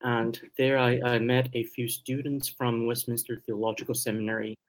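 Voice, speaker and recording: A man from Taiwan with a slight Taiwanese Mandarin accent, answering interview questions hesitantly with many pauses and restarts. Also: medium pitch, level tone, low-quality recording. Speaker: guest theologian.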